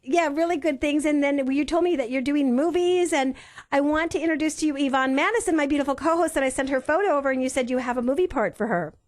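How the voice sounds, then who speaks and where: A woman's voice: sits high, quick pace. A woman hosting a talk radio show in the studio, upbeat and effusive, laughing easily as she introduces her guests.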